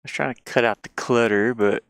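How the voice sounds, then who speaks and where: A game developer chatting casually and haltingly while working at a computer, murmuring half to themselves. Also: mid-range voice, fairly even intonation, creaky, clean tone, no room sound. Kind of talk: casual screen-share chat while working. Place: inside a van.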